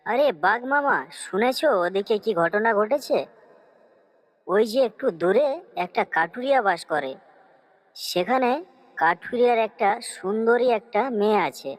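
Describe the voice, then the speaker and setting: A woman voicing a cunning fox in a cartoon story, coaxing and flattering as it schemes. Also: medium pitch, a little slow, natural voice, poor audio. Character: cunning fox, sweet-talking helpful schemer.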